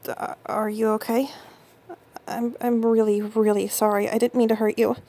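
A woman voicing an intense young girlfriend in an intimate audio roleplay, speaking very slowly in a husky, gravelly voice. Her tone is dark and mellow, and she drops into close, breathy whispers and halting stammers. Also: mid-range voice, little pitch movement, exaggerated voice. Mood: flustered, apologetic.

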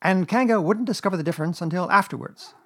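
A self-important storybook rabbit, put on by a man, raised well above a normal male pitch and projected firmly. He lectures briskly and pompously, like one who makes all the plans. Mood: pompous, declaiming. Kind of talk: children's storybook character reading.

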